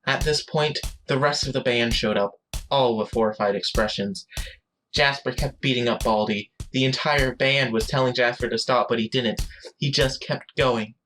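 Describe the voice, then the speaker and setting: A man's voice: medium pitch, fairly even intonation, moderate pace, a bit bright, soft background music, low-quality recording. A man reading scripted narration for a story as its narrator.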